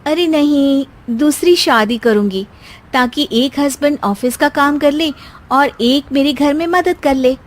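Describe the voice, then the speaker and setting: A woman voicing a tired young housewife in a cartoon who answers her husband with sharp complaints. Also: sits high, unhurried. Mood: sly.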